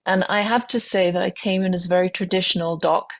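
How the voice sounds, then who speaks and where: A woman's voice: fairly low pitch, steady tone, fast talker. An older woman with a British accent, a physician telling her story in an interview, thoughtful and full of small hesitations. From Britain. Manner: thoughtful, many ums and restarts. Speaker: physician guest. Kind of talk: conversational interview, reflective storytelling.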